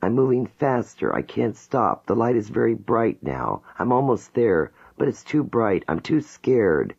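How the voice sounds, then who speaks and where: A man's voice: on the low side, sing-song, a little slow, creaky, a little mellow, breathy edge, pitched above the performer's own voice, exaggerated voice. A man reading an audiobook voices a frightened woman in a hypnotic trance, pleading and scared. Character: terrified young woman reliving her birth. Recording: dull lo-fi recording.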